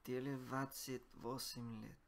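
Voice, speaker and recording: A young man with Japanese as his first language practicing phrases, halting and repeating lines after a translation app. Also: mid-range voice, flat delivery, creaky, a crowd in the background. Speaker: language learner. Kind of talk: self-recorded speaking practice.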